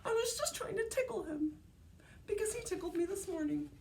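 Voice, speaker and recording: A woman's voice: very high voice, lively intonation, a little fast, creaky, background noise, pitched above the performer's own voice, full character voice. A woman voicing whiny, squabbling bear cub children who protest and blame in a storybook read-aloud. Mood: whiny, defensive.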